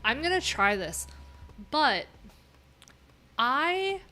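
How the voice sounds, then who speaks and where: A woman's voice: high voice, very animated, drawn-out words, pushed and bright. A woman live streaming, chatting informally and thinking aloud in a rambling, unfiltered way. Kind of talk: casual live tech stream commentary.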